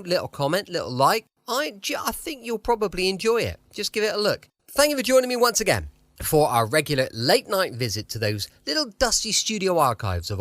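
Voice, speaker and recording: A man's voice: fairly high pitch, fairly bright tone, no echo. A British man hosting a podcast, speaking in a chatty, informal, welcoming way. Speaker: podcast host.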